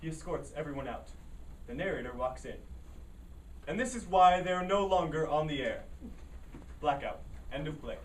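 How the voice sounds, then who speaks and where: A woman's voice: sits low, lively intonation, normal speed, pauses often. A woman reading stage directions as scripted narration for a play. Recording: echoey, noisy room, distant mic.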